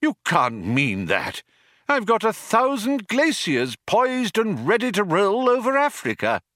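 A man's voice: on the high side, expressive, slow, fairly bright tone, no echo, clean studio sound, voice pushed up. A man voicing a polite, easily wounded old craftsman in an audiobook, hesitant, proud and mournful. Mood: aghast, indignant. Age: older. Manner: hesitant, courteous, indignant. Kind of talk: audiobook character voice.

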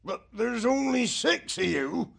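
A man's voice: extremely high pitch, sing-song, slow pace, vocal fry, slightly rough, pitched above the performer's own voice, full character voice. A man voicing an anxious, polite male river otter with a fretful, apologetic manner. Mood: worried.

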